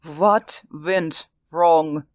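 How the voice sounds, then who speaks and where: A man's voice: a little high, fairly even intonation, extremely slow, no echo, clean studio sound, voice pushed up. A man doing a broken, vengeful official. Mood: shaken. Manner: trembling, bitter and strained. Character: shaken, furious male official, desperate and vengeful.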